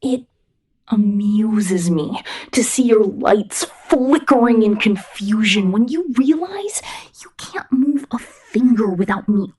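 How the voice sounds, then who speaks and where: Breathy, possessive robot girl in whispered ASMR, acted by a woman. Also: on the high side, animated, darkish tone, exaggerated voice, low-quality recording. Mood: possessive, smug. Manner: slow, teasing, controlling, intimate. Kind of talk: ASMR roleplay, close whispering and soft speech.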